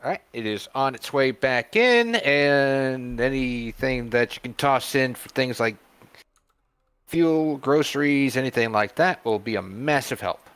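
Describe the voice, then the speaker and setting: A man's voice: mid-range voice, expressive, unhurried, a bit bright, smooth, dry recording. A man on a stream panel, talking in an earnest, plain way. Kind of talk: casual chat.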